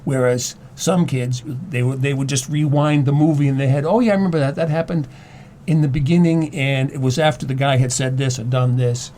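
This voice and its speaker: A man in a casual discussion, explaining his thoughts haltingly and repeating words as he goes. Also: medium pitch, fairly even intonation, mellow, slight background noise. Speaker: guest, former English teacher.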